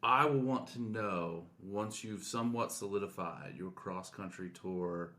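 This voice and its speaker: A man's voice: sits low, measured pace, sharp tone. A middle-aged man co-hosting a podcast, chatting casually in an agreeable, reassuring way. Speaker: podcast co-host. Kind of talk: casual chat. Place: indoors, in person with co-hosts.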